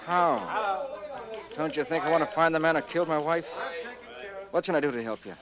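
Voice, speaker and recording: A man's voice: on the high side, moderate pace, gravelly, a crowd in the background. A man playing a curt, agitated widowed newspaperman in an old radio drama. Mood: agitated, impatient. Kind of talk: mid-century American radio drama acting. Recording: old radio recording, background sounds.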